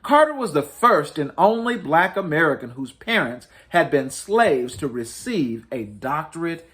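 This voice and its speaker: A man reading a storybook aloud to children as host, in an expressive, deliberate and emphatic storytelling manner. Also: a little high. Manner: deliberate, emphatic storytelling with dramatic rises and falls.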